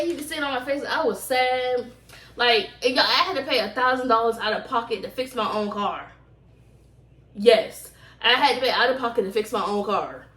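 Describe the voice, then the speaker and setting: A woman's voice: a little high, a bit bright. A woman chatting casually to camera in storytime style, rambling and slangy, with emphatic repeats. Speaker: vlogger. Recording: roomy echo, distant microphone.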